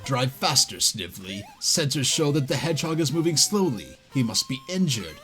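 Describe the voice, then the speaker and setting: A man voicing a gruff, bossy villain doctor from a comic, menacing and commanding. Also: medium pitch, big pitch swings, moderate pace, bright, forceful tone, soft background music, voice pushed down.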